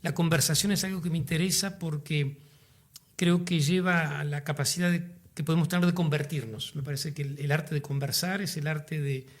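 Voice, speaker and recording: Uruguayan male guest, slightly high voice, measured and reflective. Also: steady tone. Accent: Uruguayan Spanish. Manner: reflective, measured, searching for words. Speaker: guest playwright. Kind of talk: interview conversation.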